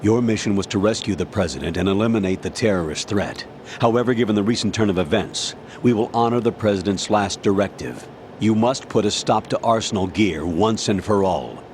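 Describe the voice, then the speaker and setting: A man voicing a low-voiced, authoritative military colonel. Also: a little mellow, a little hiss or hum, close to an everyday voice. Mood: formal, grave. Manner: formal, measured, commanding. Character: authoritative older male colonel, formal mission commander. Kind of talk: dramatic video game voice acting.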